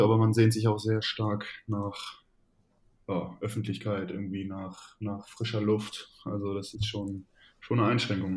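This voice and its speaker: A man in his twenties from the Hannover region, a football player answering interview questions casually, pausing often with fillers and restarting sentences. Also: on the low side, mellow, a little hiss or hum. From Hannover region, Germany. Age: 20s. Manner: hesitant, many fillers and restarts. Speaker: professional football player, guest.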